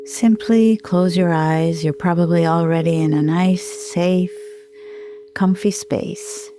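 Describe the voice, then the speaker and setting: A middle-aged woman leading a guided meditation in a soothing, unhurried manner with gentle pauses. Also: lowish voice, steady tone, noisy background. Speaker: sound healer and meditation guide.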